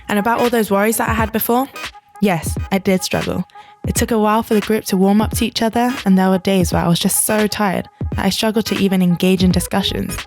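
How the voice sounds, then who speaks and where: Young female camp leader, earnest reflective voice-over, medium pitch, music bed. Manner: earnest, reflective, laughs lightly. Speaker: youth camp leader narrating. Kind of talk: scripted voice-over narration.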